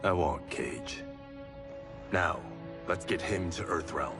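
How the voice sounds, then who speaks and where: A man's voice: low voice, slow. A man playing an earnest, determined swordsman in a video game, serious and restrained. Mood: sober. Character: earnest, determined swordsman guided by ancestral souls. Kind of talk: video game cutscene acting. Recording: loud cinematic music behind.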